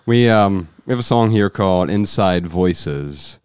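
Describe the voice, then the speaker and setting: A man hosting a podcast, chatting casually and reflectively, thinking ideas out loud and asking questions. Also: low-pitched, lively intonation, a little slow, clean tone, no room sound, clean studio sound. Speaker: podcast host.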